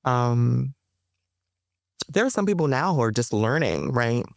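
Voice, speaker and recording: A woman being interviewed as a playwright, talking in a chatty, animated way, with frequent fillers and bits of laughter. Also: rumbling low, measured pace, gravelly, no echo, clean studio sound. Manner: animated, chatty, addresses listeners as y'all. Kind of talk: casual interview conversation.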